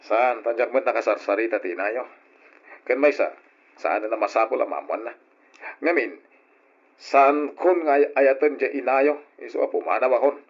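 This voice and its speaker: A man's voice: mid-range voice, moderate pace, natural voice. A man with an Ilocano accent acting a defiant, callous middle-aged father in an old radio drama, brusque and scolding. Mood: evasive, irritated. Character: defiant, callous father walking out on his family. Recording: dull lo-fi old radio recording.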